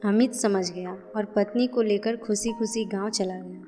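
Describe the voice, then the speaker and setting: A woman storyteller with a mid-pitched voice narrates a family cartoon. Her delivery is scripted narration. Music plays underneath. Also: steady tone, moderate pace, dark tone. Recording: music behind the voice.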